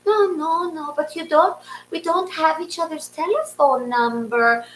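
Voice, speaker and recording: Woman doing a fussy older lady, high-pitched. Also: unhurried. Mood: anxious. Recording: roomy echo, faint noise.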